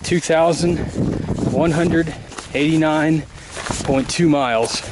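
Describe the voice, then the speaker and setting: A man in his thirties filming his own hike, talking casually and excitedly to the camera on an open mountaintop. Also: on the high side, slow. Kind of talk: casual vlog narration. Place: windy open mountaintop outdoors. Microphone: action camera on a pole. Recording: loud wind noise on camera mic. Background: loud wind across the mic.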